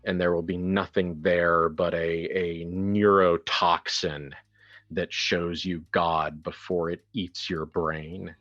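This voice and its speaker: A man running a horror tabletop game as game master, narrating deliberately and haltingly with casual asides. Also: deep voice, little pitch movement, a bit bright, dull audio.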